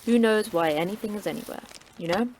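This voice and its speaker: A woman's voice: medium pitch, fast, a little mellow, a little hiss or hum, close to an everyday voice. A woman playing a terse, sardonic station manager. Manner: curt, dry, sardonic. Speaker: station manager of a secret facility.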